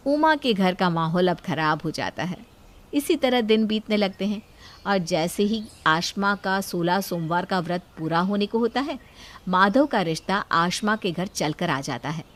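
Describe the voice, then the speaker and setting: A woman's voice: fairly low pitch, expressive, a little slow. A woman storyteller reading a scripted moral story as narrator.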